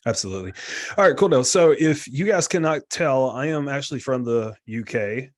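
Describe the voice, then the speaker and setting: A man's voice: mid-range voice, expressive, moderate pace. A man, a guest coach talking casually and rambling with lots of fillers over a video call. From South Carolina, US. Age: 30s. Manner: rambling, filler-heavy, chatty and candid. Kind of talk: casual talk on a live online group lesson.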